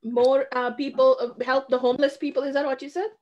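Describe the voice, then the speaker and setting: A middle-aged woman teaching an online children's class, calling names and repeating each answer back in a brisk, encouraging way, heard through a webcam at home. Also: high voice, flat delivery, a little mellow, lo-fi sound. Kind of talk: leading a class roll call on a video call. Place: small room at home, brick-pattern wall. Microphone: video call, webcam.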